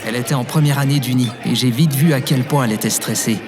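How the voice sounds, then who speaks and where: An actor playing an easygoing, forgetful, cheerful young barista in an audio drama, with a casual, good-natured manner. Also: male voice, medium pitch, fast, over music, close to an everyday voice. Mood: reminiscing. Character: Timo, easygoing, forgetful, cheerful young male barista telling his past. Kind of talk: audio drama acting and informal storytelling.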